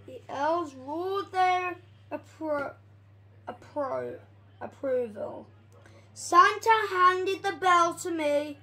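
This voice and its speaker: Young reader, bright voice, storybook read-aloud, slow pace, stumbles and repeats. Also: very high voice, poor audio.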